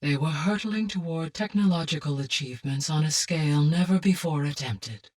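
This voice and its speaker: A benevolent artificial intelligence from a video game. Her female voice is slightly breathy and sounds a little removed from the mic. She explains things calmly, in formal, exact, measured sentences. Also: sits low, far from the mic. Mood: composed, explanatory. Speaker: game character, advisor. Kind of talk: scripted video game dialogue.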